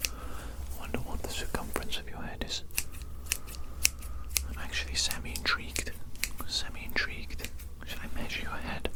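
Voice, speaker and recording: Performer playing an evil mad doctor, breathy whisper, mockingly polite, softly threatening, fussy, repetitive phrasing, ASMR roleplay. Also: moderate pace, long pauses, natural voice. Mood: coolly curious.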